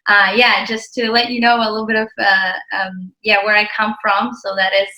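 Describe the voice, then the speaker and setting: Young woman, Czech accent, flat even tone, bright voice, hesitant fillers, echoey room. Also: mid-range voice, normal speed. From Czech Republic. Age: 20s. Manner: hesitant, with many fillers and restarts. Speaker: ministry co-founder, guest. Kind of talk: casual interview chat.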